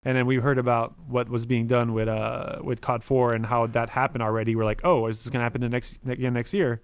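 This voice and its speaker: Fast-talking French-native man telling stories. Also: mid-range voice, little pitch movement, clear, resonant tone, dry recording. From Montreal, Canada. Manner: rapid storytelling, full of "like" and "um". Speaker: podcast guest. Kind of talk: casual podcast interview.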